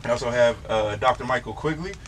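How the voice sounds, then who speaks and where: A relaxed, slangy male host leads a casual chat in a small studio. His voice sits at an ordinary middle pitch, and a faint hiss runs underneath. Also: quick pace. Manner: laid-back, slangy, easygoing. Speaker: show host and DJ. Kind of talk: casual interview.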